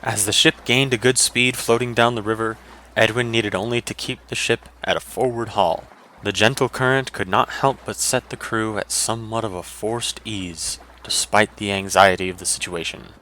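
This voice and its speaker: A man narrates a fantasy adventure from a script. His voice sits fairly low but has a bright, forceful edge, suited to third-person storytelling. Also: steady tone, normal speed, a little hiss or hum, rough recording.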